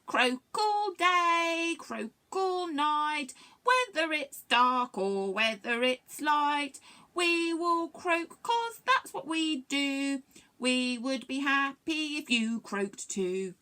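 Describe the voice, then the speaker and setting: Female reader as small cheery pond frog, sing-song storybook character, pitched high. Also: lively intonation, drawn-out words, bright, forceful tone, heavily acted. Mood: jolly. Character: jolly little pond frog singing silly rhymes. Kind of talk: slow sing-song chant.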